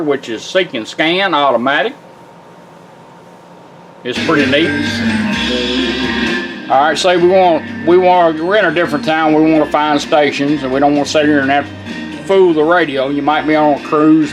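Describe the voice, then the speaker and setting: Folksy man, loud music behind. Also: fairly high pitch, rough recording. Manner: folksy, plain-spoken. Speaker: product demonstrator, car radio builder. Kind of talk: informal hands-on product demo. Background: car radio playing music.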